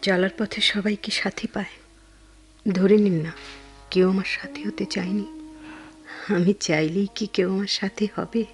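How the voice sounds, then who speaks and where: An actress with a Bangladeshi accent playing an earnest, devoted, quietly lonely woman, with soft, heartfelt radio drama delivery. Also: medium pitch, fairly even intonation, a little slow, long pauses, faint music behind, natural voice. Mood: wistful, guarded. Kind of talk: Bengali radio drama acting.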